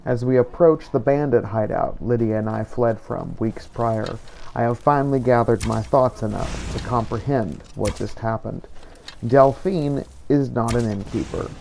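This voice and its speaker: Gravelly man narrating a game diary. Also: mid-range voice, a little mellow, a little hiss or hum. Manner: measured, reflective. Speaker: player narrating his roleplay playthrough. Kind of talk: reflective journal-style narration.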